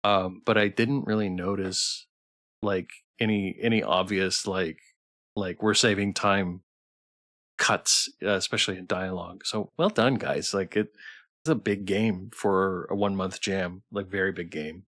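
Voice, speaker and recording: A man streamer hosting a casual developer Q&A, phrasing his questions in a halting, rambling way. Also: low-pitched, fairly even intonation, a bit bright. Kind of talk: casual, unscripted interview chat.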